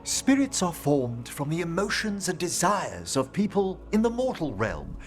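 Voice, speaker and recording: An actor playing a gentle, earnest scholar of necromancy in a video game, speaking formally and precisely. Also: male voice, a little high, huge pitch swings, a little slow. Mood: philosophical. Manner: formal, precise, eloquent speech. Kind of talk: video game character acting.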